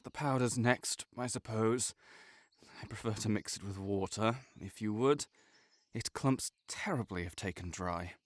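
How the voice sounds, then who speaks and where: A man playing a sickly, proud and melancholy nobleman in an intimate audio roleplay, speaking formally with measured, old-fashioned phrasing. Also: on the low side, slight background noise, close to an everyday voice. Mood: dry, mildly testy.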